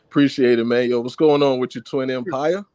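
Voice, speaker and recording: A man's voice: medium pitch, steady tone, a little fast, darkish tone, clean tone, dry recording. Laid-back male caller, dry sound. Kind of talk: casual sports talk.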